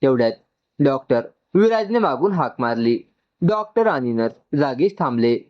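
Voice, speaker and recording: A male narrator reads a scripted, emotional story. His voice is mid-pitched, and the recording is slightly dull and lo-fi. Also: very animated, normal speed.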